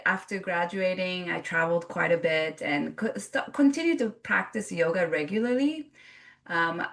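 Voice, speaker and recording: A woman's voice: on the low side, gravelly, a bit bright, far from the mic. A woman who grew up in Japan, a yoga teacher telling her story in an interview, earnest and reflective with frequent restarts.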